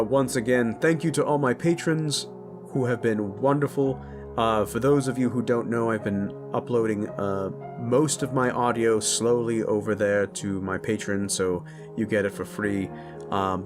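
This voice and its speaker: A man speaking informally and enthusiastically to his listeners as the creator and host of an audio roleplay channel. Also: on the low side. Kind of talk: casual chat to listeners, channel outro. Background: music.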